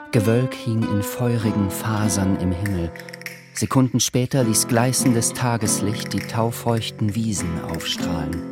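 A man narrates a literary radio drama from a script. His voice is fairly low. Music plays clearly beneath him.

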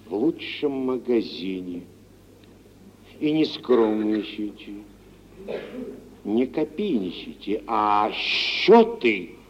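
An actor playing a wealthy middle-aged merchant, blunt and condescending, in vintage Russian stage style for radio. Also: male voice, on the low side. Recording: dull, noisy vintage radio play recording.

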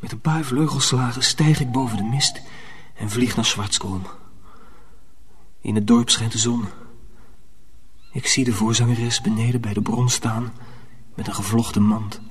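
An actor playing an earnest, protective young mill apprentice in a radio drama, measured and confiding. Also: male voice, medium pitch, fairly even intonation, slightly rough. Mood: tense, wondering. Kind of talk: radio drama narration and dialogue.

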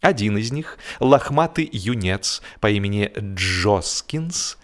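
A man narrating comic literary prose as an audiobook, theatrical and expressive, with deliberate pauses and repetitions. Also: fairly low pitch. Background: music.

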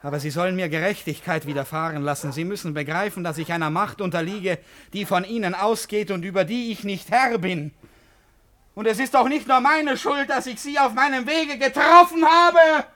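High-strung, bright-voiced tormented eccentric. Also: male voice, normal speed. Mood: pleading. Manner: theatrical, erratic, intense, swinging between outbursts and whispers. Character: tormented, eccentric, obsessively lovesick male stranger. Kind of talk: German radio play acting.

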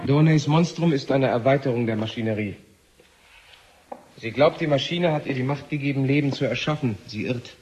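An actor playing a stubborn, alarmed physicist in a 1970 German radio play, insistent and argumentative. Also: male voice, mid-range voice, moderate pace, over music, close to an everyday voice. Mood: insistent, warning. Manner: insistent, argumentative, rhetorical questions. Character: stubborn, alarmed physicist warning against an alien machine.

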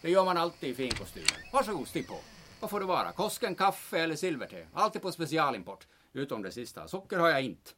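Gruff middle-aged male artist played by an actor, cheeky and stubborn, swinging pitch, radio-comedy bluster. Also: sing-song, background noise. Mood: excitable, jovial. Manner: blustering, sardonic, theatrically declaiming. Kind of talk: old-time radio comedy drama.

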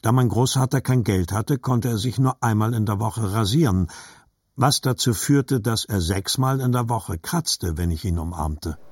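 An actor playing a reflective adult narrator remembering his boyhood, reading literary narration in a measured, husky voice. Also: on the low side, darkish tone, no room sound, clean studio sound. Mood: wistful.

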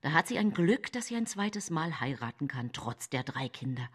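An actress playing a scheming, sensual nineteenth-century widow in a radio drama monologue, intimate and breathy in her confiding. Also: low voice, sing-song, normal speed, full character voice. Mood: dreamy, possessive. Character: scheming early-19th-century German widow, sensual and self-satisfied. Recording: music bed behind the voice. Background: music.

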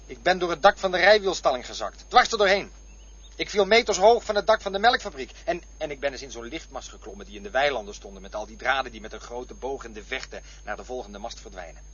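Radio play role: defensive, boastful man, taunting and insistent, very lively delivery, muffled old recording. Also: mid-range voice, quick pace, husky. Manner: insistent, taunting, repeats himself. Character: boastful, defensive man arguing over his boyhood memories.

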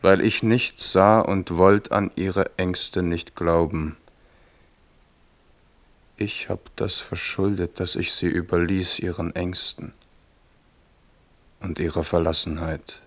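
An actor in a German radio drama playing a grieving, remorseful French king, speaking gravely and with measured, brooding weight. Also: deep voice, fairly even intonation. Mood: remorseful grief.

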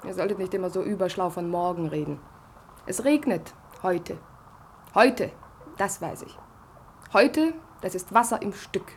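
A woman's voice: on the low side, slight background noise, close to an everyday voice. An actress playing a bitter, coarse, disillusioned woman in a German radio play, blunt and mocking. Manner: scornful, blunt, monologuing.

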